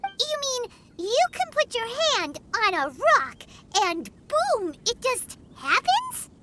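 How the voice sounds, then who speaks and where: A woman voicing a tiny, excitable, childlike fairy sidekick in a video game, squeaky, curious and eager. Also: takes it slowly, background noise, heavily acted. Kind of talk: video game character acting.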